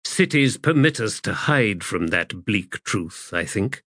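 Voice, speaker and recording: Guy doing a sombre polite commander, measured, slow, lowish voice, audiobook. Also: animated, fairly bright tone, dry recording, studio quality, full character voice. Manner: measured, grave. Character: courteous, sombre male army commander.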